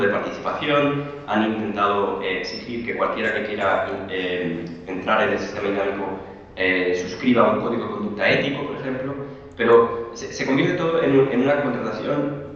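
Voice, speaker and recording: A man's voice: lowish voice, fairly bright tone, a little hiss or hum. A man giving an academic conference talk over a video link, often repeating words as he thinks. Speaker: conference speaker. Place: remote video call. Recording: echoey distant lo-fi video call.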